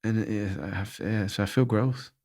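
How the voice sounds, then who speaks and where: Gravelly low-voiced man, earnest and searching. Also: moderate pace, a little mellow. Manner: earnest, searching, restarts thoughts often. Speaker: podcast host answering listener questions. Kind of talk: candid reflective monologue.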